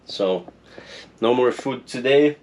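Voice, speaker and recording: A man's voice: medium pitch, slow pace. Chatty Polish-accented man, noisy room. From Poland. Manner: rambling, chatty, self-deprecating. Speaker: vlogger. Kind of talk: casual vlog chat. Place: small room in a shipping container, rural desert. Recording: steady background noise.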